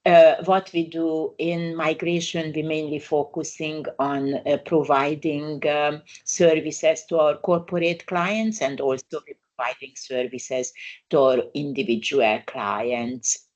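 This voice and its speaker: A woman's voice: low-pitched, fairly even intonation, darkish tone, muffled recording. Older Hungarian-accented woman presenting. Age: 60s. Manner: unhurried, frequent "uh" fillers, non-native grammar. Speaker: migration lawyer presenting a webinar. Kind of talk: webinar presentation.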